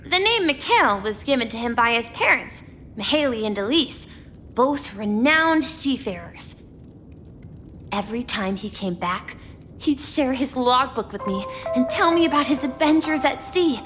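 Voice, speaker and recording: High-pitched, earnest young boy. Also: animated, unhurried, a bit bright. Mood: fond, wistful. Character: wistful, earnest young boy who dreams of adventure. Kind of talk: video game voice acting. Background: background music.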